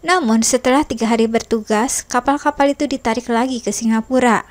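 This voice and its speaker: A woman's voice: fairly high pitch, brisk. A woman reading a scripted history narration, working steadily through long lists of names and dates.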